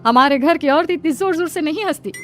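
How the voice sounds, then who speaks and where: Lady doing a strict old-fashioned saas in a cartoon story, highish voice, admonishing, faint music. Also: a little fast, close to an everyday voice. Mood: scolding. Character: traditional submissive mother-in-law, scolding yet caring. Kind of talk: cartoon moral story dialogue.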